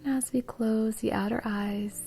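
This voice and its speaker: A woman guiding a meditation in a soft, breathy, soothing way. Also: a little high, fairly even intonation, a little slow, faint music behind. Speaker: meditation guide. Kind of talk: guided meditation.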